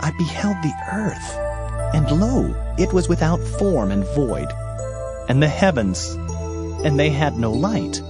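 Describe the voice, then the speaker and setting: A man's voice: fairly high pitch, sing-song, slow pace, over music. A man playing an anguished Hebrew prophet in a dramatized scripture reading, lamenting and pleading with theatrical emphasis. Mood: awed lament.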